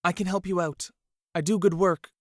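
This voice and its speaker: A man's voice: sits high, steady tone, normal speed, no echo, clean studio sound, pitched above the performer's own voice, natural voice. A man voicing a desperate young male courier who grovels and pleads with a raised, strained voice. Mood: begging.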